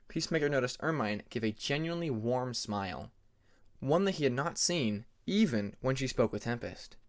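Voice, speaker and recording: A man reading scripted story narration as an audiobook narrator. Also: mid-range voice.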